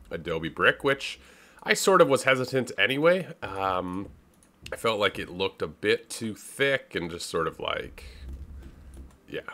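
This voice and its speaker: A man from Canada hosts a casual let's play in a deep, forward voice. His intonation swings freely as he talks. He muses through his choices and drops in wry, self-deprecating asides. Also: pushed and bright. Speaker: gaming YouTuber, host.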